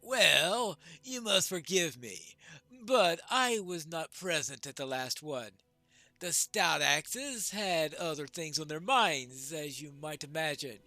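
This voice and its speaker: A man's voice: fairly high pitch, animated, takes it slowly, bright, forceful tone, dry recording, faint music behind, exaggerated voice. A man voicing a solemn, battle-ready dwarf lord in formal, declamatory fantasy game dialogue. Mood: resolute.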